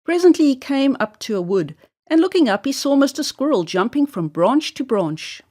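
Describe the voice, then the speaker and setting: A woman reads a children's tale aloud. Her voice sits fairly high and moves up and down with lively intonation, and her delivery is deliberate and expressive. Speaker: storyteller. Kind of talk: children's story read-aloud narration.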